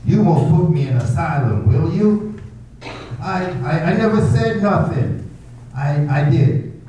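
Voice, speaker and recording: Male actor as a slow, dark-voiced simple old beachcomber, muffled echoey room. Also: fairly high pitch, animated, recorded from a distance, a little hiss or hum. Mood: frightened. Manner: rustic ungrammatical speech, frightened pleading. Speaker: amateur radio drama actor.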